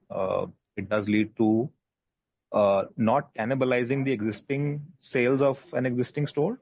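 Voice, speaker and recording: A man with an Indian accent speaks as a company executive on an earnings call, explaining his answers in a measured way. His voice sits fairly low, and the sound is dry and somewhat dull, like a lo-fi conference line. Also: a little mellow, no room sound.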